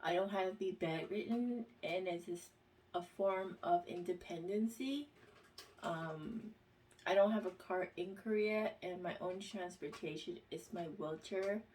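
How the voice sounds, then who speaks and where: A woman vlogger reads questions aloud and answers them in a casual, halting way, with plenty of pauses and fillers. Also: lowish voice, a little mellow, far from the mic, slight background noise, poor audio. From United States.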